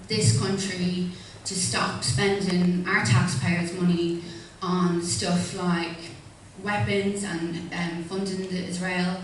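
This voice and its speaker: A woman in her thirties with a Dublin Irish accent, speaking informally and hesitantly, with many ums, as a candidate at a hustings. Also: on the low side. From Ireland. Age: 30s. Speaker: independent candidate. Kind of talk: speech at a hustings. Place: public hustings hall. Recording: echoey hall from far off with faint noise.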